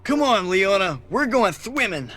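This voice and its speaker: A man's voice: sits high, sing-song, measured pace, a bit bright, pitched above the performer's own voice, heavily acted. Man doing a brash bully gang leader. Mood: cocky. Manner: sneering, brash.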